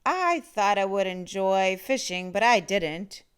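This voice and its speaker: A woman's voice: medium pitch, expressive, slow, smooth. A woman voicing a friendly, hungry male monster who growls and teases with playful menace. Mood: affable, teasing. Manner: growling, teasing.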